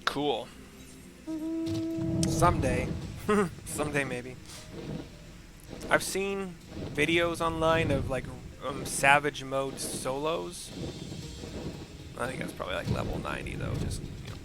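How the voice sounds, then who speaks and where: A man hosting a gaming video, chatting casually in a halting, rambling way. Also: fairly high pitch, animated, very noisy. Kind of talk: casual chat over gameplay.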